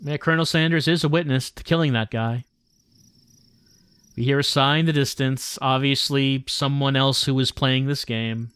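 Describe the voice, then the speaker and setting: A man live-streaming a visual novel, reading the text aloud with wry, deadpan asides. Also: mid-range voice, normal speed, smooth, dry recording.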